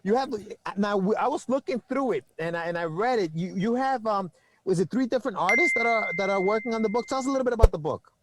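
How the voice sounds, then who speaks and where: A man's voice: high voice, lively intonation, fast, a bit bright, a little hiss or hum, rough recording. A man hosting a podcast, asking questions in a laid-back, casual way and often repeating words. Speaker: podcast host. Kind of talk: casual interview chat.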